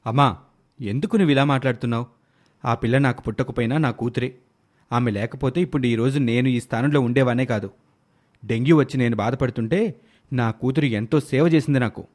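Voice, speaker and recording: A man voicing an earnest, protective young father in an animated moral story. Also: mid-range voice, fairly quick, lightly acted. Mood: indignant. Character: protective, soft-hearted young father and husband.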